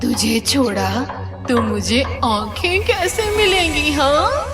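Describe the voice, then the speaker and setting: Slow, menacing witch. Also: sits high. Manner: taunting, drawn-out, cackling menace. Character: gloating, menacing blind witch who eats men. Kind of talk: animated Hindi horror story acting. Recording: loud music behind the voice.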